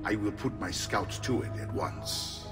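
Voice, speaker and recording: An actor voicing a boisterous middle-aged Italian mercenary uncle in a video game, theatrical, with an Italian accent. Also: male voice, on the low side, a little slow, natural voice. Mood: pondering, gruff. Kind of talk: video game cutscene acting. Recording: long echoing hall, music behind.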